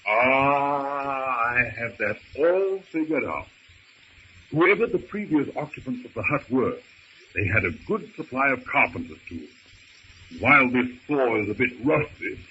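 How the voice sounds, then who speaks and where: A man acting a proper, earnest English gentleman castaway in an old-time radio adventure serial, with formal, theatrical phrasing. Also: mid-range voice. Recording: dull, hissy old radio recording.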